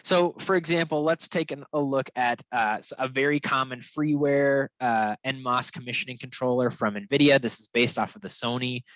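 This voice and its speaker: A man's voice: mid-range voice, fairly even intonation, moderate pace, hardly any pauses, a bit bright, dry recording. A man presenting technical material in an informal, conversational webinar style, often checking in as he explains. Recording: dull, lo-fi, dry close sound.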